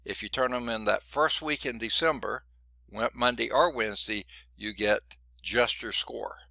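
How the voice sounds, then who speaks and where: A man's voice: mid-range voice, a little slow, gravelly, bright, forceful tone, dry recording. A middle-aged man teaching a college math class, chatty and digressive, sounding congested and often checking in with "okay?". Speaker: math instructor. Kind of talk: classroom lecture.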